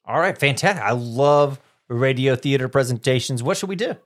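A man's voice: mid-range voice, lively intonation, moderate pace. A man hosting a school radio show in a chatty, joking way.

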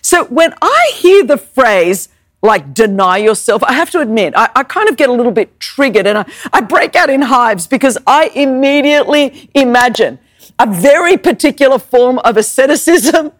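Woman preacher, Greek first language, high lively voice, dry close mic, emphatic sermon style. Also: normal speed, dry recording. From Greek Orthodox family background. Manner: emphatic, repeats words, rhetorical questions.

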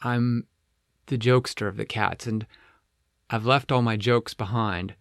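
Man as an earnest, downcast storybook tiger, creaky voice. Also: medium pitch, fairly even intonation, no room sound, clean studio sound, close to an everyday voice. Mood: hesitant. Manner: earnest, glum, halting. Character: downcast, earnest male tiger, cat jokester and guardian. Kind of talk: children's storybook character voice.

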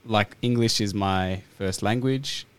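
An Australian man hosting a podcast, talking in a relaxed, thoughtful way with plenty of fillers. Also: on the low side, a little slow, clean tone. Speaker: podcast host. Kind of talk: casual interview chat.

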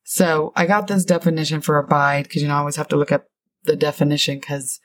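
Low-voiced middle-aged woman teaching. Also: level tone, no echo. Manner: warm, explanatory, reads definitions slowly. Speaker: podcast co-host, Bible teacher. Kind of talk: casual chat, Bible word study.

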